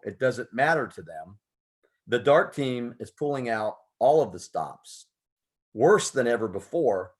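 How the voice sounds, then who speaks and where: American guy hosting a poetry radio show, mid-pitched voice, earnest and reflective, leans on his words. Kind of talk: conversational radio talk and spoken-word reading.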